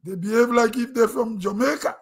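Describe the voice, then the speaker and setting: A man's voice: very high-pitched, a little fast. A middle-aged Grenadian man with a Grenadian accent, giving a rambling, conversational opinion monologue on his own online show. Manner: rambling, conversational, with throat-clearing and restarts.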